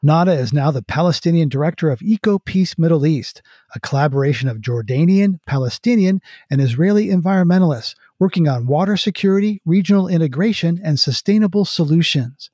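A man's voice: a little high, lively intonation, a little fast, dark tone, slightly rough, no room sound, studio quality. A man hosting an interview programme, moving between scripted narration and questions to his guests.

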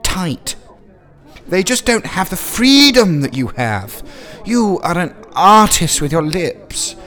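A man's voice: high-pitched, big pitch swings, slow pace, a bit bright, pitched above the performer's own voice, full character voice. A man voicing an awkward, earnest young lord. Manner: self-pitying, earnest.

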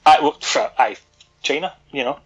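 A man's voice: medium pitch, normal speed, music in the background. A man co-hosting a podcast, rambling and self-deprecating, laughing often as he chats. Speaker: podcast co-host. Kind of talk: casual chat.

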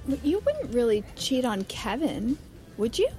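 A woman's voice: fairly high pitch, wildly swooping pitch, unhurried, warm tone, soft background music. An actress playing a chatty, candid wife who confides in her girlfriends, expressively, in an audio drama. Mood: squeamish. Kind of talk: naturalistic audio drama dialogue.

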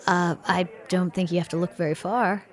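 A woman's voice: on the low side, fairly even intonation, normal speed, close to an everyday voice. A woman playing a breezy, teasing bartender in an audio drama. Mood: wry, supportive. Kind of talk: naturalistic audio drama acting.

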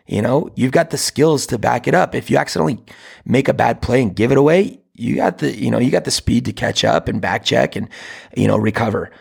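A man who hosts a hockey coaching show, talking with a hoarse, raspy voice worn out from coaching. He gives casual advice and rambles, with many fillers and restarts. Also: mid-range voice, animated, brisk, a little mellow. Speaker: hockey coach and podcast host.

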